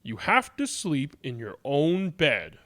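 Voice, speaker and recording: A man voicing a stern but caring father in a storybook, admonishing firmly and deliberately. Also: fairly high pitch, wildly swooping pitch, sharp tone, voice pushed down, full character voice.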